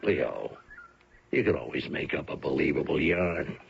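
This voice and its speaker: An actor playing a shady criminal in a radio mystery drama, coaxing and sly, with a very gravelly voice. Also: male voice, very deep voice, measured pace, full character voice. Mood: sly, persuasive. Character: shady, persuasive criminal schemer hiring a hitman. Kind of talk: 1970s-80s radio mystery drama acting. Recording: dull old radio recording with faint noise.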